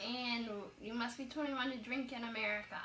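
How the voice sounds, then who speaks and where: A woman's voice: on the high side, steady tone, a little fast, a crowd in the background. A woman co-hosting a casual board game show, chatty and curious, asking questions about the rules as she plays. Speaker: co-host and player. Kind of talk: casual tabletop game chat. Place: room at home in Michigan. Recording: echoey room, mic at a distance.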